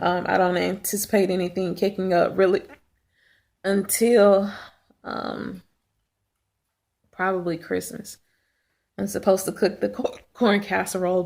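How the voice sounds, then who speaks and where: A woman with a raspy, hoarse voice and a sore throat talks at home in a casual video diary. She pauses often to clear her throat. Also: medium pitch, a little mellow. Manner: halting, frequent throat clearing. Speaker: vlogger.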